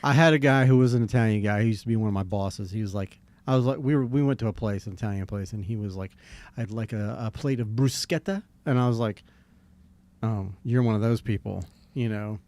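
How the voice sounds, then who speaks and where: A man's voice: on the low side, darkish tone. A man from Jacksonville, hosting a podcast in casual, rambling chat. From Jacksonville, Florida. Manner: rambling, self-described redneck, drawn-out storytelling. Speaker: podcast host.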